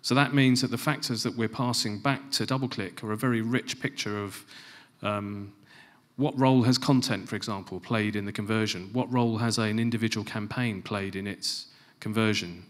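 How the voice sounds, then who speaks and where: A middle-aged man giving a conference presentation on a large stage into a handheld mic, stressing key phrases and dropping to quieter asides. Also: lowish voice, steady tone, normal speed. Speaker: conference speaker. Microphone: handheld microphone.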